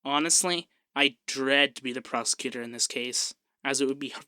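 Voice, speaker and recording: Man playing a bright-voiced peppy young femboy barrister. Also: medium pitch, no room sound, studio quality.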